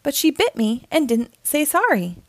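A woman voicing an indignant, excitable young girl puppy from a cartoon, with an emphatic, huffy childlike delivery. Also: high-pitched, moderate pace, dry recording, pitched above the performer's own voice. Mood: huffy, defensive.

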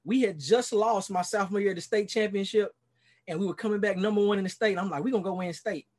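A man's voice: high voice, fast, a little mellow. A man in his forties from Dallas with a Texan accent, a pastor sharing his life story in a casual interview over a video call, earnest and rambling with many fillers. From Dallas, Texas. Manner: earnest, rambling, many "you know"s and restarts. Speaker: pastor and podcast guest.

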